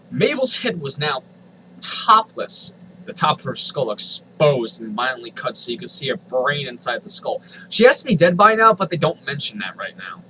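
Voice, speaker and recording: A man's voice: mid-range voice, bright, forceful tone, slight background noise, rough recording. A man reading a story aloud in a theatrical, comedic way, with incredulous asides. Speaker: host reading fan fiction aloud.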